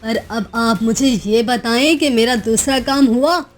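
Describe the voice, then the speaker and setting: A woman voicing a cold, murderous, scheming sister-in-law in an animated story, sly and conspiratorial. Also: on the high side, unhurried, soft background music, lightly acted. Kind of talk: Hindi animated moral-story voice acting.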